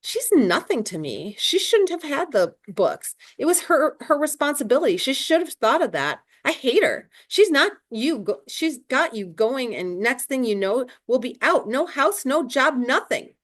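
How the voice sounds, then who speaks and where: A woman's voice: sits high, sing-song, a little slow, hardly any pauses, pitched above the performer's own voice, heavily acted. A woman reading a distracted, dismissive young housewife in a lightly raised voice. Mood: indignant.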